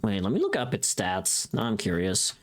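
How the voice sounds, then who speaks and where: A livestream host chatting casually with viewers in an animated, informal, sweary way. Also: low-pitched, moderate pace.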